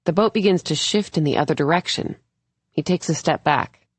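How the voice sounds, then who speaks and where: A woman narrates a first-person thriller audiobook in a low voice. The recording is dry and close, with the scripted flow of a novel being read. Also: normal speed, husky, no room sound.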